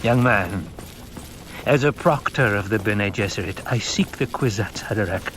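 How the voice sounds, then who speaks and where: A man voicing a stern, probing old woman of a religious order, solemn and deliberate, in an audiobook. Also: fairly low pitch, noisy background, lightly acted.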